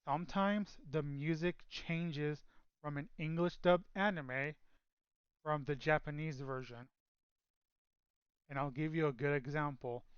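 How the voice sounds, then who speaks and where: A man's voice: a little high, steady tone, unhurried, long pauses, clean tone, dry recording, muffled recording. A man co-hosting a casual chat show, rambling with lots of fillers and restarts. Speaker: podcast co-host.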